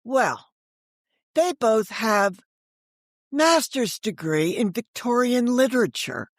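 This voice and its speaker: A woman's voice: fairly high pitch, sing-song, slow pace, dry recording, clean studio sound. An older woman telling stories as an author and interview guest, given to rhetorical questions and acting out dialogue. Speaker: guest, author and former book editor. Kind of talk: animated storytelling in a podcast interview.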